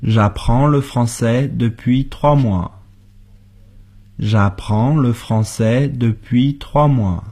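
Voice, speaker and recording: A husky male voice, speaking very slowly and deliberately. He says each practice sentence for learners, then repeats it word for word. The pace is unhurried, like a drill on a radio lesson. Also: medium pitch, mellow. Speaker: co-host of a language lesson. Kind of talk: slow model sentences for learners.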